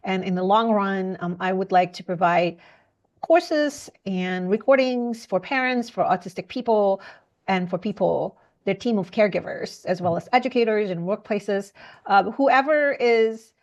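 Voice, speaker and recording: A woman talks as a guest on a podcast, earnest and halting as she searches for words. Her middle-range voice has a gravelly, fry-edged texture. She restarts her sentences often and leans on small fillers. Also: medium pitch, darkish tone, dull audio. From Indonesia. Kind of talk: conversational interview.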